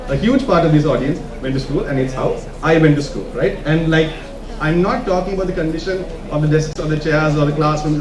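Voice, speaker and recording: A man with a Sri Lankan accent giving an informal conference talk, often checking in with his listeners with short questions. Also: on the high side. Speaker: conference speaker. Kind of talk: informal presentation to an audience. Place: conference room, talk to a live audience. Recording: echoey room from far off, noisy.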